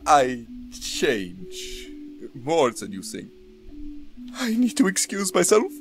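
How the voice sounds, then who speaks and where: A woman voicing a proud but vulnerable male master cook in a visual novel, with a theatrical, halting delivery, over music. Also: low voice, wildly swooping pitch, voice pushed down, full character voice. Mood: moved, grateful. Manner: slow, halting, grandiose delivery. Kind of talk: visual novel character dialogue. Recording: music behind the voice.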